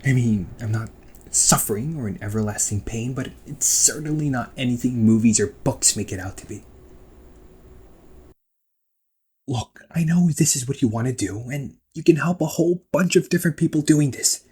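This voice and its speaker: A man playing a protective, exasperated ghost brother in an emotional amateur audio roleplay, speaking in a husky voice. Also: medium pitch, a little fast. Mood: pleading. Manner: emotional, swearing, swings from outbursts to soft confessions.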